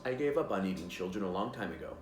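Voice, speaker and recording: The ghost of a tiger, male, profane and wry, haunted by guilt over his past, played by a man. His voice sits very low but swings widely as he tells his story. The sound is roomy and echoey. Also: lively intonation, fast, slight background noise. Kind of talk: stage monologue. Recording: echoey room.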